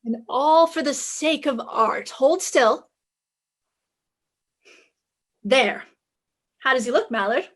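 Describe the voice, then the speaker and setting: A woman's voice: high-pitched, measured pace, a bit bright. A woman playing a sassy, confident private detective in a 1950s-style radio drama, breezy, wry and commanding. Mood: breezy, bossy.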